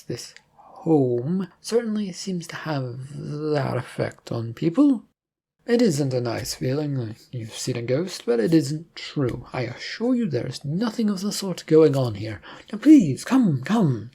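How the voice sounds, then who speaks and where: A man's voice: on the high side, vocal fry, warm tone, breathy edge, exaggerated voice. A man voicing an evasive, eerily courteous realtor in a horror story, with hesitant, salesman-like patter. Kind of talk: storybook horror character acting.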